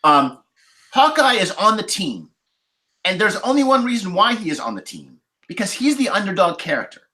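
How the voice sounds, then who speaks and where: A man's voice: sits high, sing-song, normal speed, bright, forceful tone. A man talking casually as a podcast panelist about film, explaining his points emphatically.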